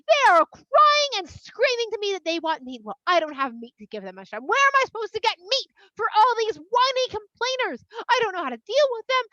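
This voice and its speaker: A woman's voice: extremely high pitch, bright, forceful tone, dry recording, dull audio, pitched above the performer's own voice, exaggerated voice. A woman voicing an overwhelmed male leader who pleads frantically that he cannot cope. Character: exasperated overwhelmed male leader at wits' end.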